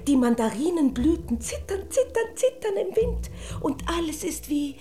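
Touchy fairy-tale princess for radio drama: high-pitched, very melodic, grand and self-important. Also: female voice, sing-song, normal speed, mellow. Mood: dreamy. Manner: theatrical, sighing, self-important. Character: haughty, dreamy, easily offended little fairy-tale princess. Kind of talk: German radio play acting.

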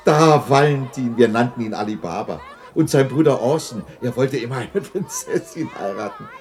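A man's voice: mid-range voice, animated, normal speed, darkish tone, some chatter behind, slight background noise, natural voice. A man acting a remorseful old miser moved by his memories in a 1960s German radio drama. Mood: delighted remembering. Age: older.

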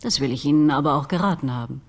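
A woman's voice: a bass voice, very fast. An actress playing a haughty, formal lady of a grand house in a radio crime drama, pointed and commanding. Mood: cool, pointed.

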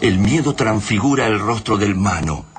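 A man narrates a radio drama in a low voice. His delivery is scripted storytelling, with clear music playing behind him. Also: animated, moderate pace.

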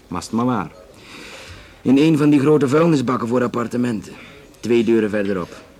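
An actor playing a courteous, flirtatious Flemish police detective in a naturalistic radio drama, speaking hesitantly with dry humour. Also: male voice, mid-range voice, normal speed, a little mellow, lightly acted. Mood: matter-of-fact, grim. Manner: hesitant, dryly joking, conversational.

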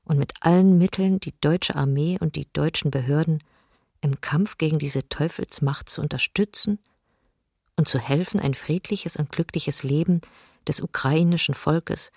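A solemn male Orthodox archbishop issues a wartime proclamation in a radio drama. The voice is husky and creaky, recorded dry. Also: a little high, darkish tone, dry recording. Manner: solemn, exhortatory.

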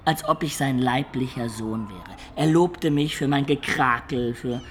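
An actor playing an imaginative peasant-born man who looks back on his life in a German radio play, in reflective, expressive storytelling. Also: mid-range voice, measured pace, slight background noise, lightly acted. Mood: fond, admiring.

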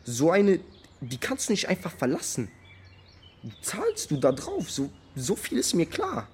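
An actor playing a blunt teenage buddy who talks in street slang, in a naturalistic radio drama. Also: male voice, fairly high pitch, huge pitch swings, unhurried, husky, full character voice. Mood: troubled. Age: young adult.

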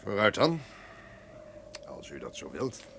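An actor playing a commanding, courtly duke in a radio drama, speaking with formal authority. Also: male voice, lowish voice, wildly swooping pitch, unhurried, gravelly, exaggerated voice. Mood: gracious. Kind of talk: Dutch radio drama acting. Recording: noisy old radio play recording.

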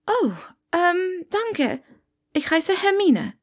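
A woman's voice: extremely high pitch, big pitch swings, very slow, pitched above the performer's own voice, full character voice. A woman voicing a polite, awkward young witch in a storybook reading, hesitant and evasive. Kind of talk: audiobook character dialogue.

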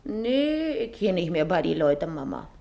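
A woman's voice: lowish voice, big pitch swings, measured pace, vocal fry, dark tone, exaggerated voice. An actress playing a stubborn teenage servant girl in a radio drama, whining defiantly in Berlin-area dialect. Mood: complaining, defiant.

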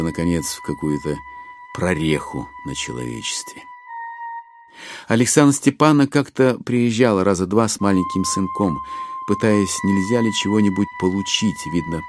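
A man narrating a literary story in an expressive, theatrical storytelling manner. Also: deep voice. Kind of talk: scripted dramatic literary narration.

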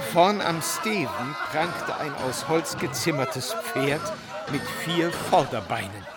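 An actor playing a wry diarist who recounts a spectacle with relish, in a deliberate, florid style for a radio play. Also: male voice, medium pitch, natural voice. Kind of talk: diary-like narration in a radio docudrama.

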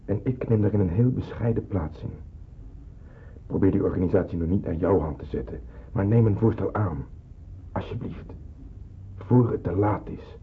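An actor playing a kindly older man in the resistance, earnest and gently persuasive, in a radio drama. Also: sits low, moderate pace, long pauses, natural voice. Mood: earnest, pleading. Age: middle-aged. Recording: muffled, narrow-band old radio play, hissy.

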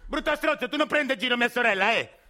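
Guy doing a rowdy roman schoolkid, shouty, very high sharp voice. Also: expressive, fast, exaggerated voice. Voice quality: shouting, rough. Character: rowdy, foul-mouthed schoolboy.

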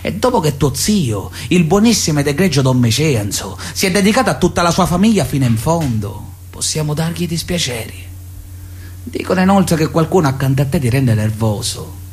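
An actor playing a pious, paternalistic Sicilian mafia elder, solemnly sermonizing with veiled menace in a radio drama letter. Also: male voice, fairly high pitch, big pitch swings, a little hiss or hum. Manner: solemn, sermonizing, measured insistence. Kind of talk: theatrical radio drama monologue, letter read aloud.

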